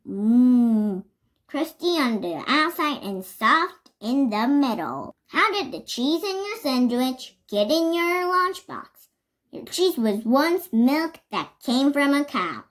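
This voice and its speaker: High-pitched young reader, animated and unhurried. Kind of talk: reading a children's picture book aloud.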